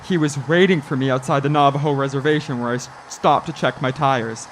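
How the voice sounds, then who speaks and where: A man's voice: medium pitch, fairly even intonation, fairly quick, a little hiss or hum, lightly acted. A man playing a haunted, frightened young traveller in a suspense radio play, dramatic and prone to stammering. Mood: haunted, brooding. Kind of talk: old-time suspense radio play acting.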